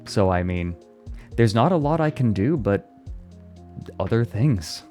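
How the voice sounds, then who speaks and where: A man's voice: lowish voice, expressive, measured pace, darkish tone, soft background music. A young man chatting casually on a live stream, reading and answering his chat and laughing often. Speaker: VTuber streamer.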